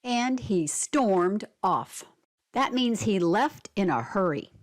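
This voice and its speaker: A woman reads a children's picture book aloud at an unhurried pace. Her pitch moves around a lot as she explains things simply and puts questions to young listeners. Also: lively intonation. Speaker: read-aloud narrator.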